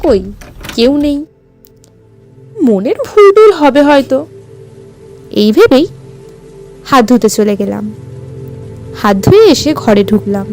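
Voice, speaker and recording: A woman narrating a first-person story in a suspenseful, expressive, theatrical way. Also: a little high, slow, long pauses. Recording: music bed under the voice.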